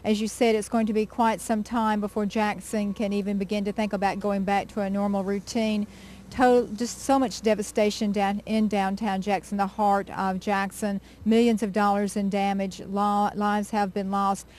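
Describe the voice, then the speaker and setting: A woman working as a local TV news anchor, speaking in a measured, sober way with occasional hesitations during live coverage. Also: medium pitch, level tone, a little mellow, a little hiss or hum. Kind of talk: unscripted live news reporting.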